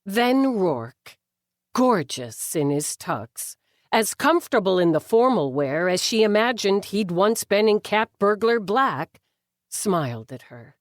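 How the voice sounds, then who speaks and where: A woman's voice: mid-range voice, big pitch swings, takes it slowly, dry recording. A woman reading fiction as an audiobook narrator, with a wry, dry delivery.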